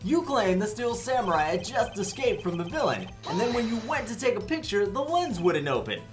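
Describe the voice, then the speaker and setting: A woman's voice: mid-range voice, big pitch swings, brisk, music in the background, natural voice. A woman playing a cocky, wisecracking young male defense lawyer in a video game fandub, theatrical and sarcastic. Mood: wry.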